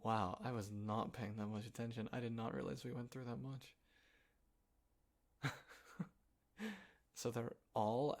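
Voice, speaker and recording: A man acting an easygoing, playful young guy in a casual roleplay audio, talking in a halting, chuckling, naturalistic way with a friend. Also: medium pitch. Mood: amused disbelief. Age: young adult.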